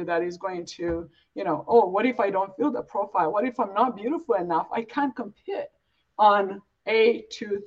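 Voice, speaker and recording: A woman from Africa, speaking earnestly and emphatically as an interview guest, building points in repeated phrases. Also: mid-range voice. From East Africa, born and raised in Uganda. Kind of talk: reflective, earnest interview talk. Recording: dull, lo-fi audio.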